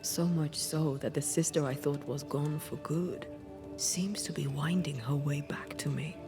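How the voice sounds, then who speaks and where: An actress playing a passionate, brooding ghost woman in a video game, with a breathy, whispery, intimate delivery. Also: low-pitched, fairly even intonation, music in the background. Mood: troubled, confiding. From Cuba (the character). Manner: slow, hushed, deliberate.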